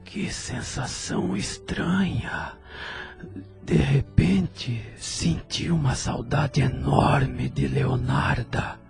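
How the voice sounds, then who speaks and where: A man playing a pensive widower in an audio drama, halting and wistful as he misses his wife. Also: mid-range voice, creaky, over music, heavily acted. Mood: wistful, puzzled.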